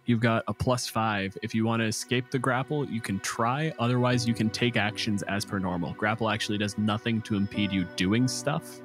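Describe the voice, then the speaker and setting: A man's voice: lowish voice, steady tone, normal speed, hardly any pauses. Game master man over background music. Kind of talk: narrating a tabletop roleplaying game. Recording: music behind.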